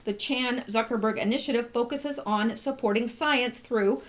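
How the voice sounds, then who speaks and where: A woman hosting her channel, reading out posts and commenting in a casual, halting way full of rhetorical questions. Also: a little high. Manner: conversational, many fillers and restarts, rhetorical questions. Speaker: channel host.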